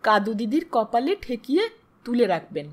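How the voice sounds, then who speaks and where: A woman storyteller voices a devout village widow from a literary tale. She advises earnestly and respectfully in a high, expressive voice. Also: moderate pace. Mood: worried, earnest. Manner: earnest, deferential, colloquial.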